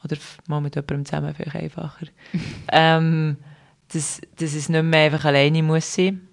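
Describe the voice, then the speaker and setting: A young woman speaking with a Swiss German accent, talking as a guest on a casual podcast. She rambles along in a fairly flat, even tone, circling back and restarting her sentences with plenty of fillers. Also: low-pitched, normal speed, vocal fry. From Switzerland. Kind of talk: casual conversational interview.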